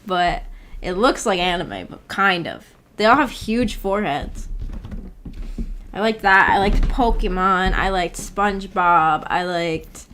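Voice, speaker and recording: A woman's voice: fairly low pitch, lively intonation, slow, a bit bright, slight background noise. A young adult woman chatting casually on a livestream, rambling informally and reading viewer comments aloud as she goes. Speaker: VTuber livestreamer.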